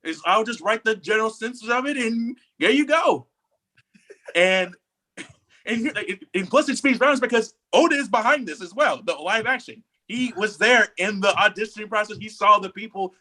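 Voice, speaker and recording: A man's voice: high-pitched, animated, moderate pace, pushed and bright. A man co-hosting a podcast, talking casually and giving opinions with emphatic, repeated phrasing. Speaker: podcast co-host.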